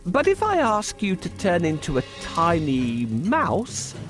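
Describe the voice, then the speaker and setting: A man voicing a clever, boastful talking cat in a theatrical, cocky way for a children's animated storybook. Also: high-pitched, slow, heavily acted. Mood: coaxing, sly. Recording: music behind the voice. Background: music.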